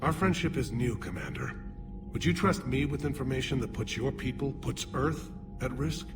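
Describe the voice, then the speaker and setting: An actor playing a burdened alien leader and former general in a video game, measured and grave. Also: male voice, mid-range voice, lively intonation, close to an everyday voice. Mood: guarded. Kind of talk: video game cutscene acting. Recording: music behind.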